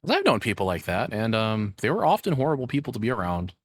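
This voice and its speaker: A man with a fairly low voice recorded close and dry, as if at a streaming desk. He reacts casually and candidly, rambling in a self-deprecating way and swearing offhandedly. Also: very animated, fast, dry recording.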